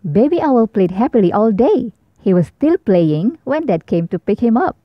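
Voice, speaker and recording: A woman narrating a children's picture book aloud in a clear storytelling way. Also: a little high, very animated, moderate pace, no echo. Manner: clear, sing-song storytelling. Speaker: storybook reader. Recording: dull lo-fi sound.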